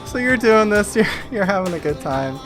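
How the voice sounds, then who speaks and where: Casual, hesitant woman narrating, low-pitched voice. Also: lively intonation, normal speed. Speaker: game master. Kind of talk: improvised game narration. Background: faint music.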